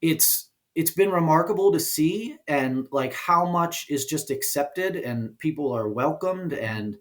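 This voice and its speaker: A man with a slightly high voice speaks as an interview guest. His talk is casual and explanatory, with informal, halting phrasing as he pieces his thoughts together. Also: animated. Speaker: guest, indie game developer and producer.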